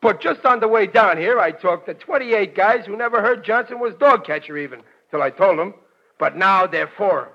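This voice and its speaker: An actor playing a blunt, naive working-class American man, husky and stammering, in an old radio comedy. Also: high-pitched, animated, moderate pace. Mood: cocky. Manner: ungrammatical, stammering, plain-spoken bluster. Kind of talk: 1940s radio comedy acting. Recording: muffled vintage 1940s radio recording.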